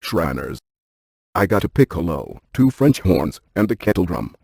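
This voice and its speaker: A man playing a brainy, stiff ghost-hunting scientist in a video game, speaking in a precise, clinical deadpan. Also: sits low, moderate pace, gravelly, husky, no echo, studio quality, exaggerated voice. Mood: deadpan, analytical.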